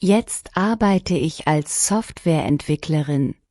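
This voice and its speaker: A woman reading short lesson sentences one at a time, each clearly and deliberately, for learners to repeat. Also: sits low, lively intonation, dark tone, dry recording, studio quality. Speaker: language lesson reader. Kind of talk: scripted shadowing practice reading.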